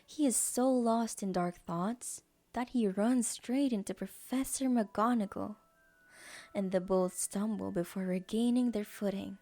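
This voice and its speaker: A woman's voice: medium pitch, unhurried, dark tone. A woman reading scripted story narration as the narrator of an audio drama.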